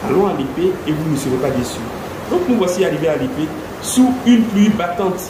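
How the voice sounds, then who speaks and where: Animated male presenter in an echoey room with rain. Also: on the high side, fast, background noise. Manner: animated, rhetorical questions. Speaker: interviewer and presenter. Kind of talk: presenting and interviewing.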